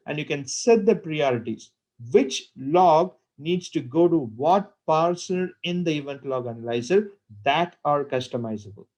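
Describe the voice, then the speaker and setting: A man presenting a software webinar on a computer call, talking with many fillers and repeated words. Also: a little high. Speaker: product presenter.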